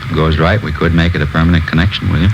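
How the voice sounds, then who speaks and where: An actor playing a shrewd, cautious drug dealer who runs the deal, slangy and commanding, in a 1950s radio crime drama. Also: male voice, very deep voice, steady tone, very fast, dull audio. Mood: sly, businesslike. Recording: noisy old 1950s radio recording.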